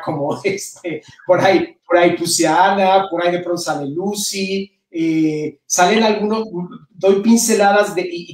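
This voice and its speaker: A man's voice: sits high, recorded from a distance. A middle-aged Mexican man chats as a guest, his accent lightly colored by Spain. He often restarts his phrases as he explains things. The sound is roomy and set back from the microphone, like a video call from home. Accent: Mexican Spanish with some Spain influence. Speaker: interview guest, novelist. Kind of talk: casual interview talk.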